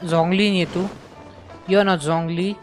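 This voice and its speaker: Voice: medium pitch, steady tone, racing, soft background music. A game streamer with an Indian accent giving casual live commentary, muttering questions to themself and mixing Hindi with English.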